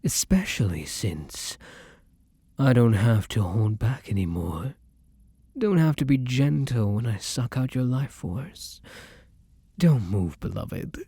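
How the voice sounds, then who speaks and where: A man's voice: medium pitch, warm tone, dry recording, exaggerated voice. A man playing a hungry vampire in an ASMR roleplay, theatrical and intimate, predatory yet devoted to his beloved. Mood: hungry, seductive. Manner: theatrical, drawn-out endearments, breathy whispers. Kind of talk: dramatic ASMR roleplay acting.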